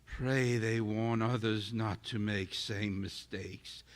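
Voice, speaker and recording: An actor playing a dying, remorseful invisible scientist in an audio drama, speaking in halting, clipped phrases with a husky voice. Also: male voice, lowish voice, fairly even intonation. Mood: regretful, pleading. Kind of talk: dramatic audio theater monologue.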